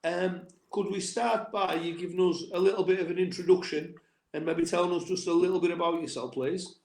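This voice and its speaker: A man with a fairly high voice hosts a podcast and asks his guest friendly, enthusiastic questions. His voice rings in an echoey room. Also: steady tone, brisk, a little mellow. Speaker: podcast host and event promoter. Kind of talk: casual interview chat.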